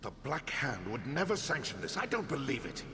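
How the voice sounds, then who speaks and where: A man's voice: mid-range voice, sing-song, a little fast, sharp tone, heavily acted. A man voicing a loyal, disbelieving assassin in dramatic fantasy game dialogue. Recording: long hall reverb, clear noise.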